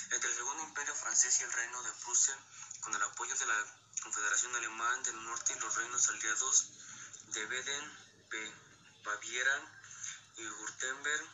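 A man co-presenting scripted educational narration, reading aloud in a halting way with stumbles on words. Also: medium pitch, pushed and bright, a little hiss or hum, low-quality recording.

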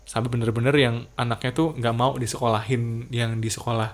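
A man, talking in relaxed podcast chat. His voice sits at an ordinary middle pitch. He drops English phrases into his slangy talk. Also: brisk. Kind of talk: casual chat.

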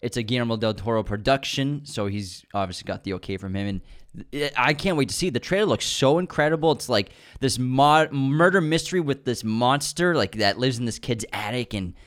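A man co-hosting a movie news podcast, chatting casually and excitedly, with fillers, restarts and quick laughs. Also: mid-range voice. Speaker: podcast co-host.

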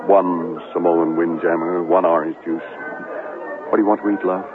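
An actor playing a boastful, manipulative TV reporter in a radio drama, cajoling and self-important. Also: male voice, low-pitched, fairly even intonation, normal speed, natural voice. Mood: smooth, probing. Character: boastful, manipulative male TV reporter pleading with his ex. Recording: tinny narrowband radio broadcast, music behind.

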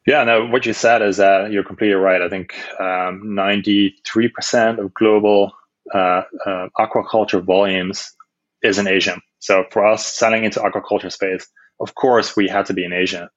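A man's voice: lowish voice, steady tone, normal speed, no room sound, lo-fi sound. A man in his thirties from the Netherlands, a startup co-founder answering interview questions casually, with many fillers and restarts.

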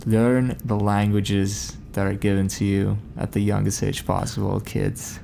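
A man from Kazakhstan whose first language is Russian, a guest on a podcast, speaking in a relaxed, reflective way. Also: fairly low pitch, a little slow, gravelly, slight background noise. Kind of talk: casual podcast chat.

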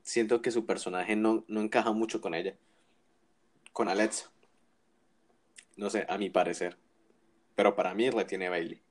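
Man, low voice, casual talk, opinionated, halting restarts. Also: fairly even intonation. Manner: opinionated, thinks aloud with many restarts and tag questions. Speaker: wrestling podcast co-host. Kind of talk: casual chat.

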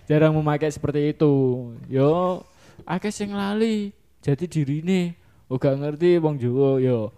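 A man with a Javanese accent, talking in a casual, joking and animated way as a discussion host. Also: a little high, measured pace, smooth. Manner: animated, joking, mimics others in put-on voices. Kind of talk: casual chat mixing regional speech and Indonesian.

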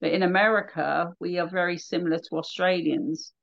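A woman's voice: fairly low pitch, fairly even intonation, brisk, vocal fry, fairly bright tone, muffled recording. A woman with a southern English accent, a radio presenter chatting casually in an interview, with lots of fillers. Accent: English, southern England. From England. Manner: chatty, many fillers, laughs readily.

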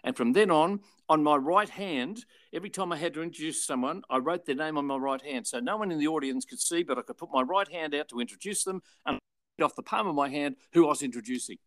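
An older Australian man, a former radio and television presenter, telling anecdotes in a relaxed interview and acting out the people he quotes. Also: a little high, quick pace, dry recording. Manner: chatty, animated retelling with voiced quotes.

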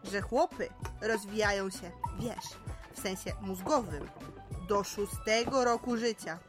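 A woman's voice: medium pitch, unhurried, music in the background, lightly acted. An actress playing a middle-aged mother in an audio drama, wry, colloquial and gossipy. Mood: wry, chatty. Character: chatty, wry mother at a family party. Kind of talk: Polish audio drama dialogue.

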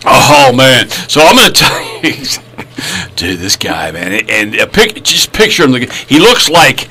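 A man's voice: medium pitch, huge pitch swings, a little slow, bright, forceful tone, slightly rough. A man hosting a casual podcast chat, rambling and joking with lots of fillers. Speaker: podcast host.

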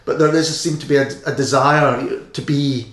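A man's voice: mid-range voice, fairly even intonation, normal speed, fairly bright tone. A man interviewing an author, asking long, rambling, enthusiastic questions. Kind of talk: interview conversation. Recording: echoey room, faint noise.

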